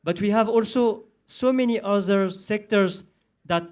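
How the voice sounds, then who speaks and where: A man with a French accent gives a panel talk in a high voice, stopping often to search for words. He speaks as a panelist addressing a room. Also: darkish tone, clear, resonant tone. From southern France. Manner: hesitant, many filler pauses. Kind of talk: conference panel speech.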